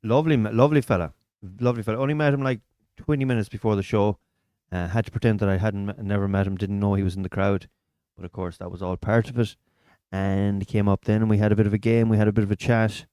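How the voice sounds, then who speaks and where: A man's voice: on the low side, steady tone, quick pace, dark tone, clear, resonant tone, dry recording. An Irish man hosting a live stream from a small studio, chatting and telling stories with local slang. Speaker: comedian and podcast host. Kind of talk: casual chat on a live stream.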